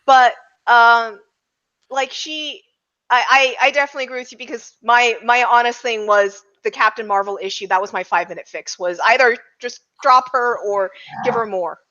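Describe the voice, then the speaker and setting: Bright, higher-pitched woman on a podcast. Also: steady tone, moderate pace, muffled recording. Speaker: podcast panelist. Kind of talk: casual roundtable film discussion.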